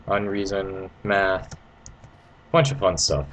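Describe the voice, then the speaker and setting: A low-pitched man talks through a video game in a casual, rambling style. He sounds informal and playful, like an amateur creator guiding viewers. The recording is homemade, with some noise behind the voice. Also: steady tone, slow pace, a bit bright. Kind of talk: casual gameplay commentary. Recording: noisy amateur home recording.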